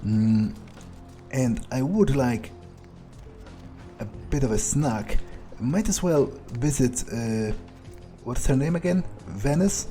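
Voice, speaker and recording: Guy playing a grumpy sweary vampire, thinking out loud real slow and halting, mid pitch, game roleplay. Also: animated, soft background music. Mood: brooding, musing. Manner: halting, musing, muttering to himself. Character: surly, foul-mouthed, self-serving male vampire, broodingly thoughtful. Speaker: player roleplaying his game character. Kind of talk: in-character gameplay monologue.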